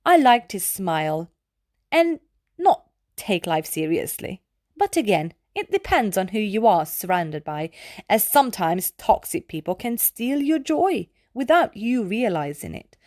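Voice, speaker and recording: A woman reads poetry aloud for children at a measured, deliberate pace of phrasing. Her pitch sits in an ordinary female register but moves up and down in an animated way. The words are clearly enunciated, and the sound is close and dry. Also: no room sound. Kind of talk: scripted read-aloud poetry narration.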